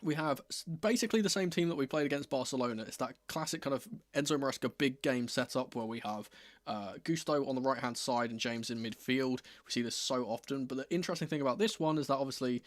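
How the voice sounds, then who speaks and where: A slightly croaky man hosts a football livestream in a dry, close sound. His pitch sits in the middle of the male range. He talks casually, with a wry, self-deprecating manner, as someone a little under the weather. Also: fast talker, dry recording. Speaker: football fan streamer hosting a watchalong.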